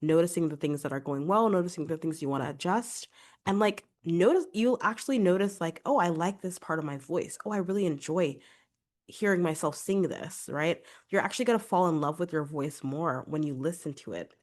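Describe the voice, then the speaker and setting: A woman's voice: fairly low pitch, brisk, a little mellow. A young adult woman, a vocal coach, giving chatty, encouraging podcast advice with a congested voice. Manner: chatty, encouraging, fillers like "like" and "right?".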